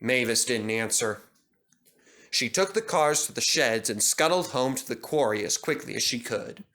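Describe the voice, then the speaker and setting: Man narrating, bright tone, lively pitch, expressive storybook reading. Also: moderate pace.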